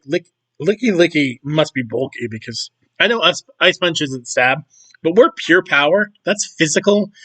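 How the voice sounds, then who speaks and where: A man with a hoarse, worn-out voice talks through a video game session at an ordinary mid pitch. He rambles from one thought to the next and keeps clearing his throat. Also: a bit bright. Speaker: gaming YouTuber. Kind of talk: casual commentary over gameplay.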